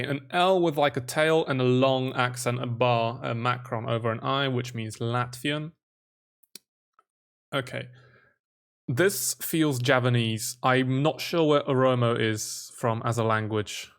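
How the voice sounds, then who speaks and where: A man's voice: mid-range voice, slow, fairly bright tone, clear, resonant tone. A young man hosting his own channel, thinking aloud as he works through a language quiz and spelling out letters while he guesses.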